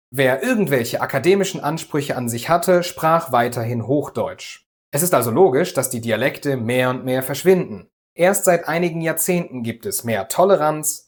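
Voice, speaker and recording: A man from the Düsseldorf area hosts a scripted language lesson. He explains things calmly and clearly at an even, unhurried pace, and his voice sits at a middle pitch. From Düsseldorf area, Germany. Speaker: language teacher, host.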